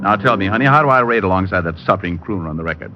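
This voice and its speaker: A man playing a wry, smooth, teasing private detective in vintage radio drama style. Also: sits low, lively intonation, rapid-fire, over music. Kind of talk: 1940s-50s radio drama acting. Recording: muffled vintage radio recording.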